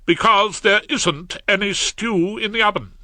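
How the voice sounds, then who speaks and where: A man's voice: high-pitched, measured pace, sharp tone, no room sound, pitched above the performer's own voice, exaggerated voice. A man reading an audiobook, voicing a stern, domineering middle-aged wife who speaks in a clipped, reproachful way. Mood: curt. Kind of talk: audiobook character voice.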